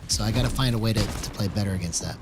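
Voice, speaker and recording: An American man in his forties from California chatting casually as he streams a game, haltingly thinking aloud and repeating phrases. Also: on the low side. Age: 40s. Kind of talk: casual live gameplay chat. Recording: game gunfire noise over the voice. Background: video game gunshots.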